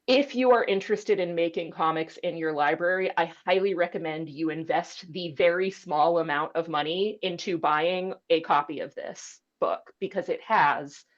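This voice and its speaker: A woman's voice: on the low side, moderate pace, few pauses, dull audio. A woman librarian speaking informally on an online panel, presenting in a chatty way with conversational asides. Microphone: video call.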